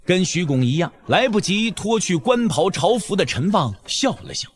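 A man's voice: sits high, big pitch swings, normal speed, breathy edge. A man narrating a dramatic audio drama as a scripted storyteller. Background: background music.

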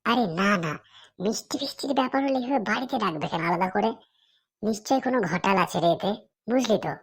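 A woman's voice: fairly high pitch, animated, quick pace, dry recording, studio quality. A woman voicing a nosy, loyal young cartoon friend who reasons insistently. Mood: suspicious. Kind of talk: comic cartoon dialogue.

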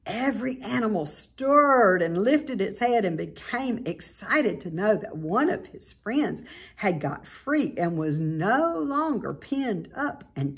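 A middle-aged woman with a slightly husky voice reads a children's story aloud expressively, like a teacher. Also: medium pitch. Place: indoor room at home by a staircase.

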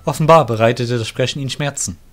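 A man's voice: mid-range voice, quick pace. A man narrating a scripted fantasy audio drama as its storyteller. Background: music.

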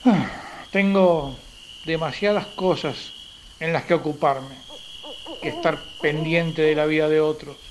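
A man's voice: a little high, fairly even intonation, measured pace, a little hiss or hum, lightly acted, low-quality recording. An actor playing a nervous, distrustful, self-important wealthy man in a radio drama, hesitant and defensive. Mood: guarded, proud.

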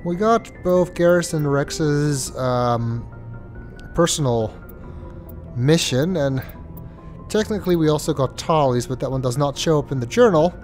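A man talks casually through his gameplay, his voice stuffy and congested, often pausing with ums and uhs. Faint music plays under his talk. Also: a little high, animated, darkish tone. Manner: hesitant, with many ums and uhs. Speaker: let's play host.

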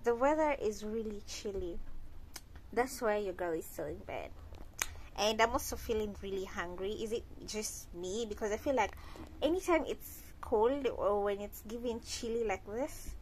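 A woman's voice: mid-range voice, normal speed, a little mellow, a little hiss or hum, rough recording. A young woman chatting casually to her viewers while lying in bed, filming on a phone held close. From Kenya. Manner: chatty, addresses viewers as guys. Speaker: lifestyle vlogger. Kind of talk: casual vlog chat to camera.

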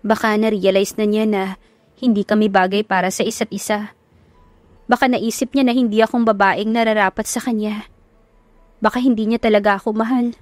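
Female narrator as lovestruck young woman, mid pitch, earnest, worried, confiding, romance audiobook, dry close sound. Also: steady tone, fairly quick, no room sound, faint music behind, close to an everyday voice. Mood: tearful doubt. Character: lovestruck, doubtful young woman from humble background.

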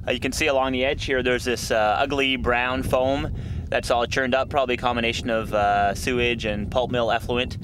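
A man in his thirties talking unscripted to a camera outdoors on a canoe trip, with a halting delivery. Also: medium pitch, fairly even intonation. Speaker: expedition filmmaker and presenter. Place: outdoors by a wild river and trail. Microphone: handheld camera at arm's length. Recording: noisy outdoor camera audio with ambient sound.

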